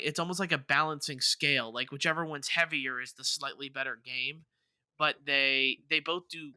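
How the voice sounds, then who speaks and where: A man's voice: mid-range voice, pushed and bright, smooth, no echo. A man co-hosting a gaming podcast, chatting casually and circling back over his words as he talks. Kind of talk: casual chat about video games.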